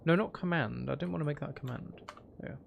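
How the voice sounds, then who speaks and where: Creaky-voiced man casually narrating his gameplay. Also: fairly high pitch, quick pace, dull audio, a little hiss or hum. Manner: rambling, thinking aloud to himself. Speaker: gaming video host.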